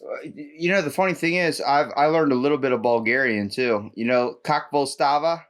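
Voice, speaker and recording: A man hosting a podcast, chatting casually with a guest in a joking, rambling, animated way. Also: mid-range voice, fairly quick. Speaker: podcast host. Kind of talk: casual interview chat.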